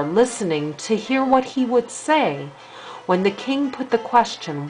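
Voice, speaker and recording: An older woman reads a fairy tale aloud as a grandmother would to a child. Her voice is high and swings expressively through the sentences. Faint music sits behind the reading. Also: low-quality recording.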